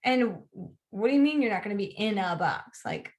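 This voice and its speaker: A woman's voice: medium pitch, sing-song, fairly quick, darkish tone. A woman co-hosting a podcast in a casual, conversational devotional discussion. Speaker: podcast co-host.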